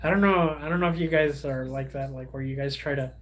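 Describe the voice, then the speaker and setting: A young man, a Spanish speaker, hosting a casual livestream and chatting with viewers in a rambling, halting way full of fillers and restarts. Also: a little high, fairly bright tone. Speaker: livestream host.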